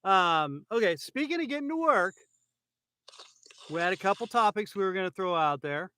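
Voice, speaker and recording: A man's voice: sits high, expressive, normal speed, pushed and bright, smooth. A man from California hosting a casual podcast, rambling with lots of fillers and restarts, a bit loopy on pain pills, talking into an iPhone. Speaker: podcast host. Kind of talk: casual chat.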